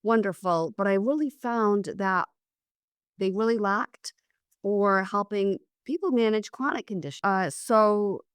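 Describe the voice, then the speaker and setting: A middle-aged woman speaks as an interview guest, her voice hoarse from a cold. She talks in a loose, conversational way, restarting sentences and filling gaps. The tone underneath stays smooth, and the recording is close and dry. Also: mid-range voice, unhurried, no echo. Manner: conversational, with frequent restarts and fillers. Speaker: guest, psychiatric nurse practitioner. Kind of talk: casual interview chat.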